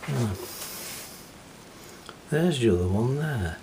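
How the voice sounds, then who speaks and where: A man's voice: medium pitch, animated, takes it slowly, mellow, a little hiss or hum, low-quality recording. A man casually narrating as he cleans parts of an old tape deck, muttering an easygoing running commentary. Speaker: hobbyist repairing a reel-to-reel deck.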